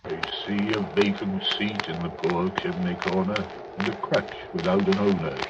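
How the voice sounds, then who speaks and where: A man playing a solemn, commanding spirit, speaking gravely and declaiming in a 1930s radio drama. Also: low voice, steady tone, moderate pace, very noisy, close to an everyday voice. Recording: muffled, distant old radio broadcast. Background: music.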